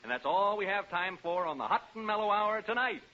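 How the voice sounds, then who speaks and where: An actor playing a jaunty, chummy American disc jockey with theatrical pauses, in a 1940s radio drama. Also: male voice, high-pitched, expressive, pushed and bright. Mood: jaunty, teasing. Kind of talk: 1940s radio acting, late-night DJ patter. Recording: muffled 1940s radio recording, music behind.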